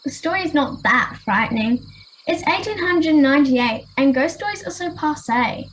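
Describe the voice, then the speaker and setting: A woman's voice: high-pitched, lively intonation, moderate pace, fairly bright tone, a little hiss or hum, rough recording. An Australian girl of about ten playing a haughty, dismissive Victorian schoolgirl storyteller in an amateur radio play. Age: 10 to 11, child.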